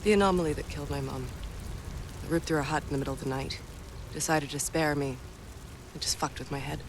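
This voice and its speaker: A woman's voice: low-pitched, fairly even intonation, quick pace, background noise. A woman playing a blunt, foul-mouthed but vulnerable young woman haunted by visions in a video game cutscene. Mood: subdued, confiding. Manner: blunt, sweary, emphatic.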